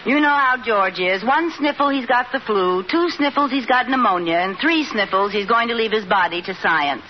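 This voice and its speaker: An actress playing a teasing, sarcastic but doting wife in an old-time radio comedy. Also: female voice, fairly high pitch, lively intonation, moderate pace, lightly acted. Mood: wry, exasperated. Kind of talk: 1940s-50s radio comedy acting. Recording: muffled, very noisy vintage radio recording.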